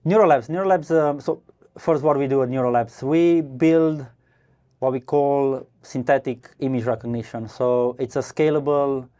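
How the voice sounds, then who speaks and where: A man from Romania with a mellow, darker tone speaks as a podcast guest. He talks casually, stopping and restarting with many fillers and the odd laugh. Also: medium pitch, normal speed, muffled recording. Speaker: guest, startup co-founder. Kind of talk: casual interview answers. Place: brewery podcast studio.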